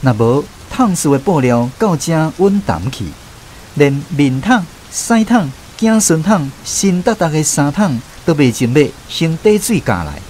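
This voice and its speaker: A man's voice: on the high side, big pitch swings, a little slow, a little mellow, slight background noise, poor audio. A man with a Taiwanese accent narrates a radio drama as a first-person storyteller.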